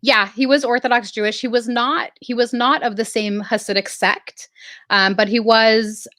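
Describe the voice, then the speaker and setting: A woman's voice: a little high, steady tone, a bit bright, no room sound, clean studio sound. Reflective woman telling her story. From Southern California. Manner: reflective, halting, many fillers. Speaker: guest artist. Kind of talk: casual interview storytelling.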